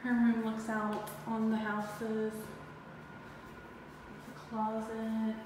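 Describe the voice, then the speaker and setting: Slow-talking woman in echoey empty house. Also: fairly high pitch, completely flat delivery, background noise. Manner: slow, plain, pausing between rooms. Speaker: parent giving a house tour. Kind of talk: casual walkthrough narration. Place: empty townhouse, indoors. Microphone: phone camera held while walking. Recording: echoey empty rooms with long reverb.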